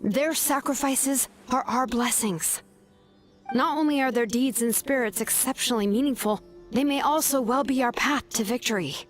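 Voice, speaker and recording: An actress plays an earnest young female warrior. Her voice is fairly high and sincere, with faint game music underneath. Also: moderate pace, lightly acted. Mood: solemn. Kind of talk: video game voice acting.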